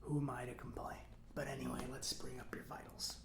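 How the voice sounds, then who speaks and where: Casting: man as nerdy, friendly government robot mechanic for ASMR roleplay; soft near-whisper, rambling, roomy echo. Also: mid-range voice, little pitch movement, a little fast, creaky, close to an everyday voice. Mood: resigned, confiding. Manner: rambling, hesitant, casual. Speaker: repair shop mechanic character.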